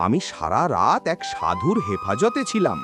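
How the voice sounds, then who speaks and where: A man's voice: lowish voice, huge pitch swings, normal speed, music in the background. A man voicing a poor, fretful woodcutter and father in a cartoon, dramatic and pleading in his delivery. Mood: sorrowful. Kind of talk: cartoon voice acting.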